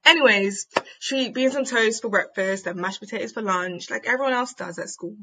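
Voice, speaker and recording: A young woman performing a spoken word piece in a measured, rhythmic way, then chatting on a podcast. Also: mid-range voice, pushed and bright, a little hiss or hum. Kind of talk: spoken word reading, then casual discussion.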